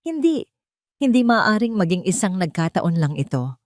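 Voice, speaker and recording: A woman's voice: lowish voice, big pitch swings, normal speed, slight background noise. A woman with a Filipino accent voicing an anxious, polite young mother in an audio drama. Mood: shaken, forced calm.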